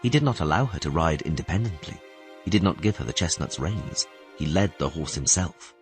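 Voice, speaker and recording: A man's voice: low voice, lively intonation, a little fast, faint music behind. A man reading scripted fantasy narration as an audiobook narrator.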